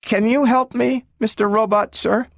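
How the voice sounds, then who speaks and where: A man voicing a polite, earnest little girl with a high treble voice. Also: fairly even intonation, measured pace, creaky, no room sound, studio quality.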